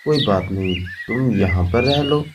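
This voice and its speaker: A man acts out a sly, greedy eagle from a children's cartoon. He exclaims with bluster and false friendliness, heard a little away from the mic over clear background noise. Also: medium pitch, lively intonation, normal speed, distant mic, natural voice. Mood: falsely friendly. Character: greedy, scheming male hunter eagle feigning kindness. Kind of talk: Hindi children's cartoon voice acting.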